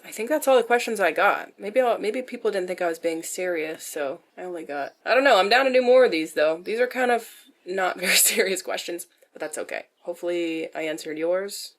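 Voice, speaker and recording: A woman's voice: lowish voice, moderate pace, few pauses. A young adult woman with a nasal voice answers questions in a casual vlog style, candid and self-deprecating, with dry jokes and swearing. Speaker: vlogger.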